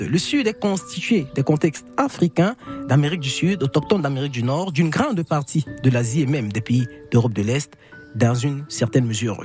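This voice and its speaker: A man hosting a radio show from a studio, presenting in a measured way with frequent hesitations. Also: mid-range voice, darkish tone. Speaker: radio host. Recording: radio broadcast with music behind. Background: music.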